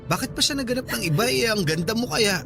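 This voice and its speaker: A man's voice: high-pitched, lively intonation, quick pace, creaky. An actor playing an earnest, flirty coworker smitten with his friend in a Filipino radio drama, coaxing and soothing. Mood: comforting. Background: background music.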